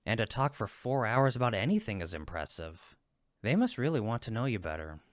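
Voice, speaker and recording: Man acting as low-voiced, supportive cupid roommate. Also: sing-song, a little fast, no room sound, studio quality. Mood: reassuring. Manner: playful, reassuring, emphatic. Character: friendly male cupid roommate, teasing, supportive best friend. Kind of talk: roleplay audio comfort acting, one-sided conversation.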